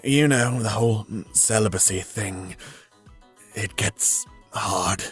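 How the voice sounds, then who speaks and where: Guy doing an embarrassed priest game character, deep voice, takes his time, hesitant and earnest. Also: takes it slowly, husky, faint music behind. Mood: sheepish. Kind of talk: character acting in a visual novel.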